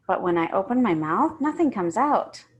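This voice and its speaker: A woman's voice: mid-range voice, very animated, normal speed, breathy edge. A woman reading a first-person children's story aloud, emphatically and with acted-out feeling. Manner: emphatic, acts out the characters.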